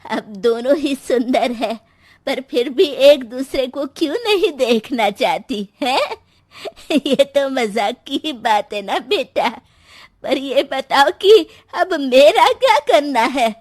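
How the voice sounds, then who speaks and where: Woman as a plaintive, husky old mother. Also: high voice, animated, slow pace, dry recording, studio quality, pitched above the performer's own voice, exaggerated voice. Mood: wry. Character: sorrowful, wry old Indian mother and grandmother.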